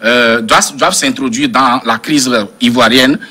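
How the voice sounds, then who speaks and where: A man's voice: mid-range voice, normal speed, sharp tone. A man speaking as a panel analyst in a political debate, professorial and long-winded with learned references.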